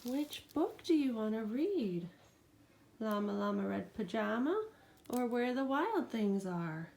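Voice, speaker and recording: A woman's voice: a little high, sing-song, slow, vocal fry, a little mellow, a little hiss or hum. A woman reading a children's picture book aloud like a teacher, with dramatic storytelling and questions to her listener.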